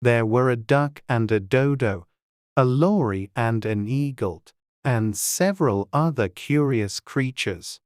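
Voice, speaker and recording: A woman's voice: very deep voice, slow, darkish tone, no room sound, clean studio sound. A woman reading scripted storybook narration as an audiobook narrator.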